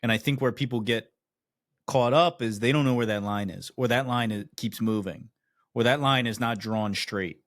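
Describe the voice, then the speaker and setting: A man's voice: medium pitch, moderate pace, fairly bright tone, dry recording, studio quality. A man hosting a podcast, easygoing and reflective as he steers the talk. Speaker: podcast host. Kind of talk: casual discussion.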